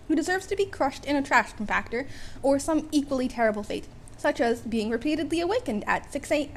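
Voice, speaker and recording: A woman with a high voice narrates a comic story as an amateur audiobook reader. Her delivery is wry, with occasional stumbles and repeated phrases. Background noise runs under the home recording.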